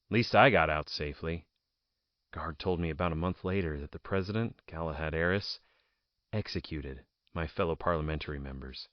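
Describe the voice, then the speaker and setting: A slightly muffled, close and dry audio drama recording of a man acting as a fallen aristocrat politician. His lowish voice moves with lively intonation. He tells his tale proudly and bitterly, weighing his words with theatrical pauses. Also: dry recording. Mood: grim, explanatory. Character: ousted nobleman politician, jailed idealist, bitter and proud. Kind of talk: dramatic first-person audio drama monologue.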